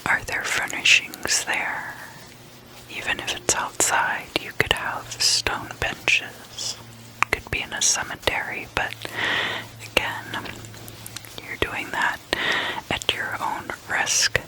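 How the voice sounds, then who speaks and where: A person whispering a guided meditation in a breathy, unvoiced voice, giving instructions in halting, spaced-out phrases. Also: poor audio.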